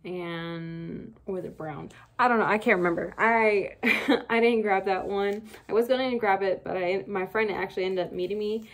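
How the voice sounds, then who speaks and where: A woman's voice: medium pitch, fairly even intonation, normal speed. Young female vlogger, casual haul chat, rambly filler-heavy delivery, desk camera in a home room. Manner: chatty and rambling, many fillers. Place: bedroom beauty room at home, indoors.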